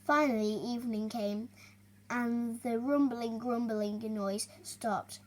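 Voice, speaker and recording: Child female reader, high voice, slow pace, expressive storybook delivery with halting stumbles. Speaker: young reader hosting a story video. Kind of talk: reading a children's storybook aloud, with chatty asides.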